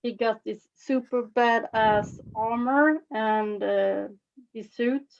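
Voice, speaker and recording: A woman from Sweden with a Swedish accent, giving an informal demonstration as a face painting instructor, with halting phrasing. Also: a little high, fairly even intonation, creaky, a little hiss or hum. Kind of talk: informal live demonstration with commentary. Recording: slightly dull, lo-fi webinar audio.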